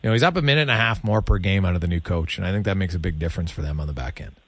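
A man's voice: low-pitched, racing, fairly bright tone, no room sound. A man hosting a sports radio interview in casual, informal chat, meandering through his questions.

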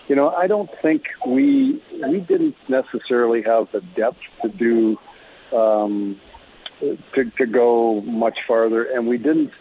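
A middle-aged or older man, a former athlete as interview guest, talking reflectively and haltingly in a casual sports chat. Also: medium pitch, breathy edge. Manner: halting, thoughtful, repeats words. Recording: muffled, lo-fi call audio.

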